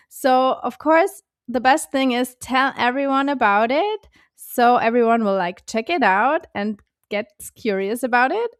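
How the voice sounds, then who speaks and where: Bright, dry-voiced woman podcast host. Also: a little high, smooth, no echo. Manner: friendly, chatty, loose phrasing. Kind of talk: podcast hosting and interviewing. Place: Texas, indoors.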